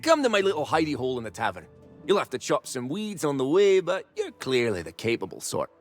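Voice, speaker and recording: Folksy game survivalist, boisterous, reckless, very animated pitch, music underneath. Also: male voice, fairly quick. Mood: game, daring. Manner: boisterous, colorful frontier slang. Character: gruff, reckless, folksy survivalist man holed up in a tavern. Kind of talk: video game character acting.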